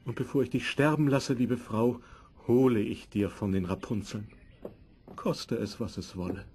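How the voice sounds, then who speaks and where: A man's voice: mid-range voice, steady tone, normal speed, a little mellow, a little hiss or hum, natural voice. A man playing a devoted, fearful husband in a fairy-tale audio play, speaking in an earnest, dramatic way. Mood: resigned, devoted.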